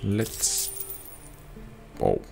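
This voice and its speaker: A man's voice: drawn-out words, over music. A man giving casual gameplay commentary, thinking aloud as he sorts through items. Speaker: gameplay streamer.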